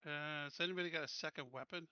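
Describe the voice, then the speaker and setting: Bright-voiced man playing a tabletop game. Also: on the high side, fast, dull audio. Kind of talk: casual game chat.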